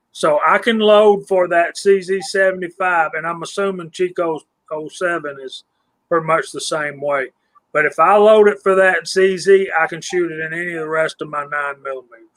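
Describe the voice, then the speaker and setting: Higher-voiced man in his fifties chatting. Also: moderate pace. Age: 50s. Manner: relaxed, self-deprecating, asks guests questions. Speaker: live stream co-host. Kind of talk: casual chat.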